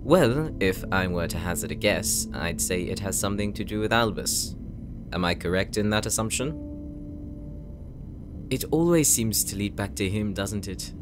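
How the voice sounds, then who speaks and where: An actor playing an earnest, insecure young knight in an audio roleplay, speaking gently and hesitantly, as if confiding in his sister. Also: deep voice. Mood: gently probing. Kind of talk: audio roleplay drama, intimate monologue.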